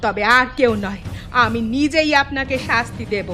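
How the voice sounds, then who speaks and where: A woman plays a young village wife in a cartoon, high-voiced and earnest. She is devoted and outspoken, with music playing behind her. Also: normal speed, sharp tone. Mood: angry, defiant. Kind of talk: Bengali cartoon dialogue.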